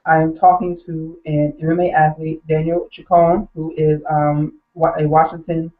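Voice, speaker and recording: A man interviewing an athlete, polite and a little halting, with many fillers. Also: on the high side, little pitch movement. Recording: tinny phone line.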